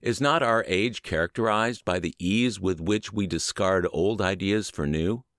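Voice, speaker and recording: A man reading a book aloud as a scripted audiobook narration, with measured, emphatic and deliberate phrasing. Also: deep voice, fairly bright tone, dry recording, studio quality. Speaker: audiobook narrator and podcast host.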